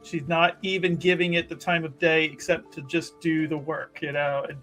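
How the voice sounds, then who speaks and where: High-voiced man narrating a roleplaying game. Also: little pitch movement, pushed and bright. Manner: halting, repeats phrases for emphasis. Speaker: player in a tabletop roleplaying game. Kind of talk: improvised narration of a character's actions. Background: background music.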